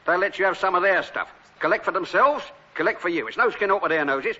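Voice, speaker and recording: Sharp, fast-talking London scrap man. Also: sits high, animated, lo-fi sound, full character voice. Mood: reassuring. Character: awkward, kindly rag-and-bone trade neighbour. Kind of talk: British sitcom acting.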